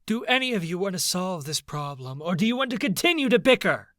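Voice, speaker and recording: A man's voice: high-pitched, sing-song, a little fast, a bit bright, no room sound, clean studio sound, voice pushed up. A man voicing a commanding, angry queen in a fantasy audiobook, imperious and bellowing. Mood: commanding fury.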